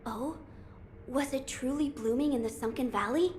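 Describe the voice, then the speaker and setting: A woman's voice: high-pitched, normal speed, a little mellow, slight background noise, close to an everyday voice. A woman voicing an earnest young boy lord in a video game, formal and thoughtful. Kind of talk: video game cutscene acting.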